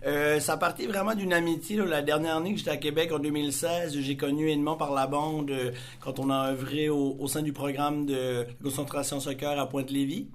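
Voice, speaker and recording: A man's voice: on the high side, steady tone, a little fast, few pauses. A man with a Magdalen Islands French accent, a soccer coach answering interview questions in eager, filler-heavy speech. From Magdalen Islands, Quebec.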